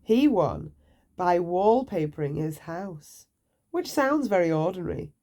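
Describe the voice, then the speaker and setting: A woman storyteller reads to young children at bedtime. Her voice is slightly low and very mellow, and she takes her time with expressive, deliberate phrasing. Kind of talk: read-aloud children's bedtime story narration.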